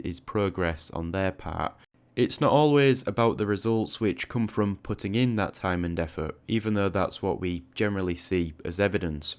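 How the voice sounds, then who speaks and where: A man hosting a casual, reflective audio log, speaking informally with small stammers on the starts of words. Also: low-pitched. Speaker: art video creator and host.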